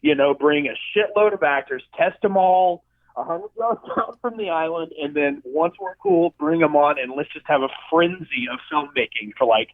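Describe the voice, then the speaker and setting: Animated man on a tinny line. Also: fairly high pitch, moderate pace, no echo. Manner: animated, profane storyteller. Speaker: film director and effects artist, guest. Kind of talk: casual interview chat. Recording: dry tinny phone-like line.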